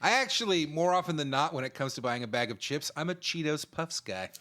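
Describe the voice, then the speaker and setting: Lively bright-voiced man, teasing and excitable. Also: on the high side, a little fast. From East Texas and Florida, United States. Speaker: podcast co-host and editor. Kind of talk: casual joking banter.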